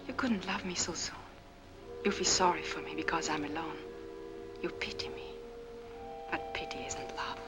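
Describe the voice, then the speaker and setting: An actress playing a troubled, guarded young immigrant widow in a dramatic, earnest 1940s film style. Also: female voice, sits low, normal speed, pauses often, lightly acted. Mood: sorrowful, hesitant. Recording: old film soundtrack, music behind.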